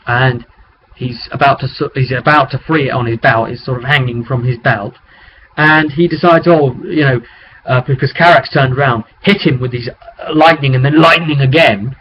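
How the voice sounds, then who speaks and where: A man's voice: mid-range voice, moderate pace. A man telling the story of a tabletop roleplay session as its game master, casual, colloquial and rambling, recorded on a webcam microphone. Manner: rambling, colloquial, acting out sound effects. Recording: dull, noisy webcam microphone.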